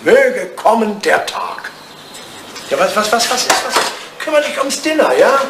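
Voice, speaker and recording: An actor playing an aging English lord, whimsical, nostalgic and imperious, in a theatrical German radio play. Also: male voice, high voice, big pitch swings, unhurried, full character voice. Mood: irritated, imperious. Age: older. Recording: roomy sound with clear background noise.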